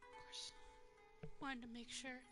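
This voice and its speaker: A young woman with a soft voice, chatting casually and laughing as she streams a video game. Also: sits high, flat delivery, takes it slowly. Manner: rambling, chatty, laughs often. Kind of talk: casual gameplay commentary. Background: game music.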